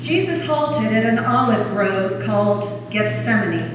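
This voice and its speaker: A woman narrating a dramatic scripture reading in a church, with a solemn, measured delivery. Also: medium pitch, animated, over music. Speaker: narrator of a Passion Gospel reading. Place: large church during a service. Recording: very echoey church, distant mic, noisy.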